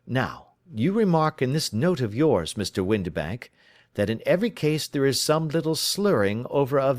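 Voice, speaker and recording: A man reading the part of a calm, sharp-witted male detective, suave and precise as he lays out his reasoning. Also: mid-range voice, no echo, studio quality, close to an everyday voice. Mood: explaining. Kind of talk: audiobook reading.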